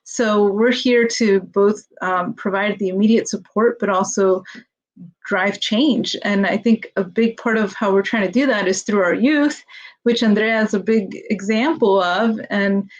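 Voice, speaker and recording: A woman's voice: mid-range voice, unhurried. Woman, community leader, loose conversational talk, muffled lo-fi sound. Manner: conversational, with filler words. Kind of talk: panel discussion.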